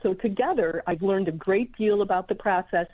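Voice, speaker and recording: A woman's voice: medium pitch, moderate pace. A woman psychiatric nurse practitioner speaking fluently as a radio guest over a phone line. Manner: fluent, explanatory. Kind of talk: radio interview.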